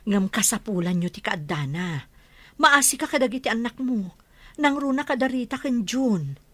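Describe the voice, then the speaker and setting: A woman's voice: a little high, lively intonation, normal speed, no echo. A woman playing a concerned, persuasive village auntie in a radio drama, earnest and urging.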